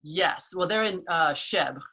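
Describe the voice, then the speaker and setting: A woman's voice: fairly low pitch, takes it slowly, slightly rough. A woman from the United States hosting a casual video call chat, telling stories with frequent laughter. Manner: chatty storyteller, warm laughs. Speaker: host.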